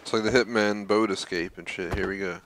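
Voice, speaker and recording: A man's voice: fairly low pitch, steady tone, a little fast, background noise. A man giving casual, offhand, slangy commentary as he plays a video game. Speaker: gamer commentator. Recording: voice over loud game music. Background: video game music and sounds.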